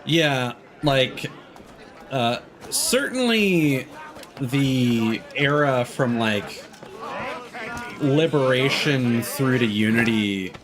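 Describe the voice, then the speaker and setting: A man giving casual livestream game commentary, musing in a halting way and often trailing off mid-thought. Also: medium pitch, expressive, crowd noise. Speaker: game streamer. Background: game music.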